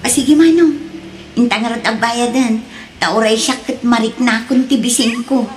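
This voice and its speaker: High-pitched young Ilocano wife played by an actress. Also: female voice, normal speed, over music, close to an everyday voice. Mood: grateful, polite. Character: distressed young mother, betrayed and sorrowful wife Maria. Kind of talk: Ilocano radio drama acting.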